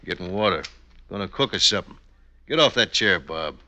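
An actor playing a weary, gruff Western outlaw at home with an American Western drawl, in 1950s radio drama style. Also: male voice, sits low, animated, brisk, bright, forceful tone, heavily acted. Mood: tired, curt. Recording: noisy old radio recording with clicks.

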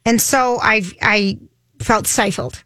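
A woman co-hosting a radio show, telling long rambling stories in a chatty, loud-voiced way. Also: a little high, steady tone, takes it slowly, no echo. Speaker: radio co-host. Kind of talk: casual radio chat. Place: radio studio.

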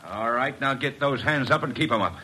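An actor playing a gruff, blunt, impatient police inspector in 1940s radio style. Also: male voice, mid-range voice, brisk, natural voice. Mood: commanding. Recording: very noisy vintage radio recording.

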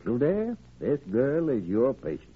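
An American actor playing a blunt, crusty older senior doctor with a husky voice in a 1940s radio drama. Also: male voice, medium pitch, huge pitch swings, unhurried, exaggerated voice. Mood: challenging. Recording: old radio recording, tinny narrow band.

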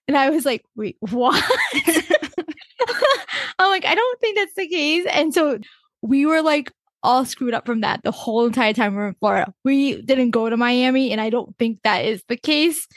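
Chatty woman with quick anecdotes. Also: sits high, animated, dry recording. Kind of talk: casual podcast chat.

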